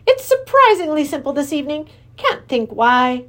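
A woman voicing an exuberant, lovesick male creature king with antlers, who lisps and gushes in a high voice. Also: normal speed. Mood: excited. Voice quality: lisping. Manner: high, gushing, excitable. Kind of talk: storybook reading.

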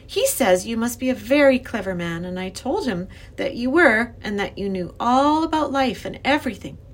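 Lady doing an eager little girl in a kids story, high voice, super animated, pleading and insistent. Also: normal speed. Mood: coaxing. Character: earnest, excitable young girl, pleading and insistent. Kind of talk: acted children's storybook reading.

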